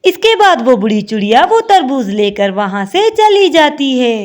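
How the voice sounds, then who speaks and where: A woman narrating a scripted children's cartoon story in an expressive storybook manner. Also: high-pitched, moderate pace.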